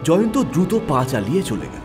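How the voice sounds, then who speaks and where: A man's voice: fairly high pitch, sing-song, fairly quick, over music. A man narrating a detective story in a dramatic, scripted storytelling style.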